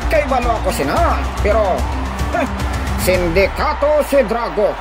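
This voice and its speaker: A boastful gang boss, acted by a man in an audio drama. The voice sits high and moves slowly and deliberately, with music clearly playing underneath. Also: expressive. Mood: boastful, confident.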